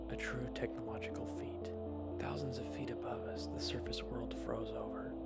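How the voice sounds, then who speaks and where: A man playing a shrewd, secretive relic collector in a whispered sci-fi ASMR roleplay, with a breathy, conspiratorial delivery. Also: mid-range voice. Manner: hushed, conspiratorial.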